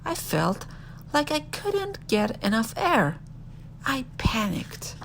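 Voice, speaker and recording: A woman reads a children's storybook aloud with lively, swooping intonation. Her mid-pitched voice often drops into a creaky fry. There is a light but noticeable noise in the recording behind her expressive narration. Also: slow pace.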